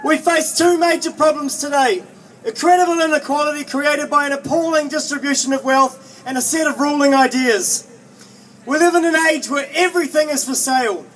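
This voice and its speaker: Young man orating very high, heard from afar. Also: normal speed, fairly bright tone. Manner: earnest, emphatic oratory. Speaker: student movement speaker, postgraduate student. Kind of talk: prepared political rally speech. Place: outdoors at a street march. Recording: distant outdoor capture with faint noise.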